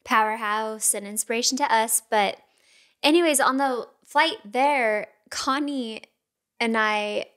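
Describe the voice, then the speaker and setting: Higher-voiced woman co-host, casual and chatty, laughs a lot. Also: slow, fairly bright tone. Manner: chatty storytelling, laughs often. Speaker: podcast co-host. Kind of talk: casual chat.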